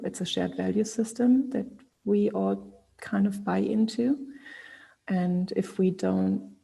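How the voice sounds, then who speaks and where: A woman speaking on an online panel, thoughtful and pausing often to search for words. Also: medium pitch, creaky, warm tone, husky, rough recording. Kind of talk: panel discussion.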